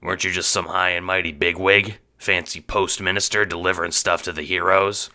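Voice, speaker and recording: A man performs a disgraced lawman turned lone wolf for an audio drama. He is touchy and blustering, with a bright, forceful edge to his blunt speech. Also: sits low, little pitch movement, fairly quick. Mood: snide. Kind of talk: audio drama character acting.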